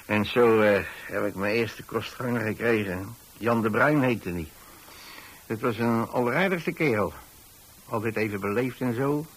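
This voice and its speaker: A man's voice: on the low side, measured pace, breathy edge, poor audio. An actor playing a mild, rambling old widowed pensioner with a Dutch accent, hesitantly telling his story in a radio play. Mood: fond, reminiscing. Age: 60s or older.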